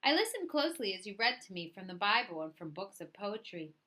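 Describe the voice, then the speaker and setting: A woman narrates a children's story in a bright, forward voice at a middling pitch. Her delivery is expressive and deliberate, shaping each phrase dramatically as a read-aloud storyteller would. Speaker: storyteller reading a picture book aloud.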